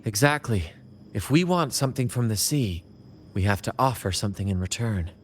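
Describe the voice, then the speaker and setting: A man's voice: lowish voice, expressive, moderate pace, slight background noise, close to an everyday voice. A man voicing a confident, theatrical young adventurer in a video game. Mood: assured.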